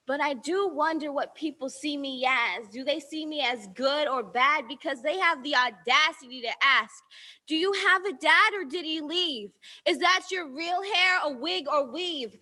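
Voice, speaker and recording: High bright teenage girl reciting poetry. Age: teens. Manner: rhythmic rhyming recitation, defiant at times. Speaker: student poet. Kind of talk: spoken word poetry performance.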